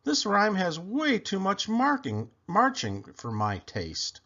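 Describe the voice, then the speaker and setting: Man putting on a high, whiny little girl. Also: wildly swooping pitch, takes it slowly, pushed and bright, full character voice. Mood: dismayed, complaining. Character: little girl Miss Muffet, polite but quick to complain. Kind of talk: storybook read-aloud character voice. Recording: dull lo-fi recording.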